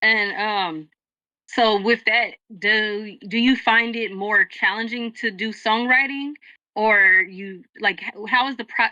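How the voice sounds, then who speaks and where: A woman's voice: mid-range voice, takes it slowly, bright, forceful tone, no echo, lo-fi sound. A woman interviewer asking questions in a casual interview over a call, chatty and loose, often fumbling and restarting her questions.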